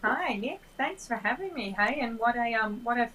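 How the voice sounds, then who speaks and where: A woman guest in a casual chat about sailing, friendly and relaxed. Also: fairly high pitch, moderate pace. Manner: friendly, relaxed, thinks aloud.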